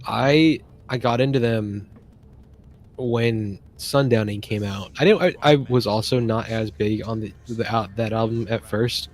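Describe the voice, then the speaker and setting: A man's voice: fairly low pitch, a little slow. A man chatting casually on a stream, rambling enthusiastically and often trailing off and restarting.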